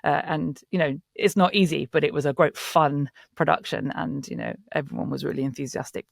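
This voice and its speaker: A man's voice: on the high side, fairly quick, vocal fry, dry recording, studio quality. A man talking enthusiastically as a producer in a panel interview. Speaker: audio drama producer.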